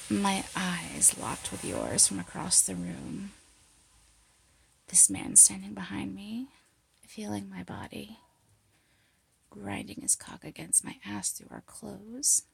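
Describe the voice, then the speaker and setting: A woman performing an intimate, sultry audio roleplay monologue, teasing and breathy, often slipping into a whisper, close to the mic. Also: mid-range voice, expressive, slow, long pauses, slight background noise. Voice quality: breathy, husky, gravelly. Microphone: close mic, dry sound.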